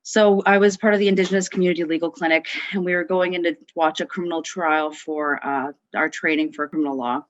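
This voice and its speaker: Female law student, twenties, informal testimony, halting delivery, slightly dull and dry sound. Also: fairly low pitch, fairly even intonation, no echo. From Canada. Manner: hesitant, frequent ums. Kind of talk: personal testimony, informal storytelling.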